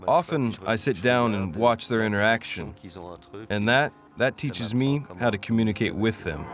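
A man in an interview, speaking in a calm, thoughtful, slightly wistful way. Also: on the low side, moderate pace, over music. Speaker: musher. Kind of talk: reflective interview.

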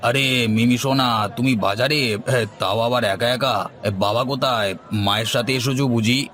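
A friendly village shopkeeper from a cartoon story, acted by a man, with a medium-pitched voice. He sounds jovial and avuncular, teasing and questioning as he chats. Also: moderate pace, fairly bright tone, close to an everyday voice. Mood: friendly, curious. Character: friendly, teasing village market fish seller, grown man. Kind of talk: animated cartoon storytelling dialogue.

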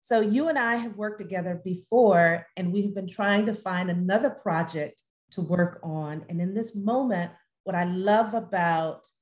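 A woman's voice: mid-range voice, moderate pace, a little mellow. A middle-aged woman hosting a talkback interview on a video call from a room at home. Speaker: host, theatre artistic director.

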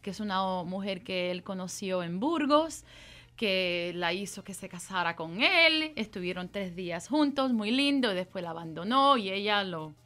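A woman's voice: mid-range voice, animated, moderate pace, fairly bright tone. Cuban woman in her thirties, easygoing chatty interview guest with a ready laugh. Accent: Cuban Spanish. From Cuba. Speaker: opera singer guest. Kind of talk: casual radio interview. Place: radio studio.

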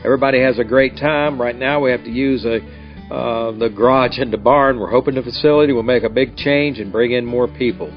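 A man's voice: medium pitch, steady tone, brisk. A middle-aged American man narrating a tour as a farm guide. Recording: dull lo-fi sound, guitar music behind. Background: acoustic guitar music.

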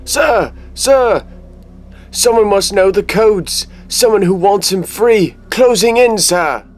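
A loyal, formal aide played by a man in an audio drama, urgent and anxious. His high voice swings widely and moves at a slow pace over faint music. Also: animated. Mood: alarmed. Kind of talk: comic book audio drama acting.

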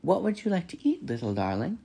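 A man's voice: medium pitch, lively intonation, moderate pace, gravelly, a little mellow, breathy edge. A man voicing a polite, literal-minded female robot in a storybook, with precise, formal phrasing. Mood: cheerful, friendly.

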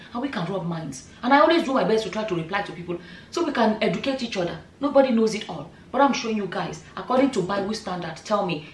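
A woman in her thirties with a Nigerian accent, giving animated opinion commentary straight to camera, full of rhetorical questions. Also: mid-range voice, a little hiss or hum. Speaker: vlogger. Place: bright room indoors, seated in chair. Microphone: far camera. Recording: roomy echo, mic at a distance.

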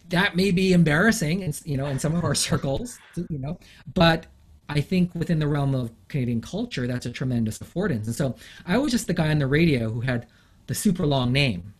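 A middle-aged man from England, an interview guest, talking thoughtfully with frequent fillers and pauses. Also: a little high, expressive, husky. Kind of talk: reflective interview conversation.

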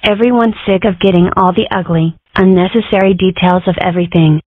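A woman's voice: on the low side, fairly even intonation, brisk, no room sound, close to an everyday voice. A woman voicing a cheeky, contrary young girl fox in an amateur comic dub, delivering dry jokes matter-of-factly. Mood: earnest. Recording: muffled, phone-like narrow sound.

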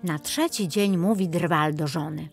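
Low-pitched woman narrating a fairy tale. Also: a little slow, creaky, husky, soft background music. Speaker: audiobook narrator.

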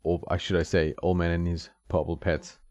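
A man's voice: deep voice, flat delivery, normal speed, darkish tone, no echo. A man giving casual, reactive commentary while he plays a retro fighting game, chuckling often and talking back to the game. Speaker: retro game video host. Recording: dull dry audio with game music behind.

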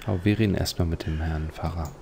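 A man's voice: low-pitched, fairly even intonation, fast talker, gravelly, a little mellow, slight background noise. A man hosting a let's play, giving leisurely, reflective commentary on a video game.

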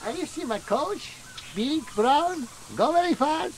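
A man's voice: very high voice, expressive, a little slow, a little hiss or hum, heavily acted. An actor playing a polite South American railway man with a Spanish accent in a radio drama. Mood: politely apologetic. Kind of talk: 1990s radio drama acting.

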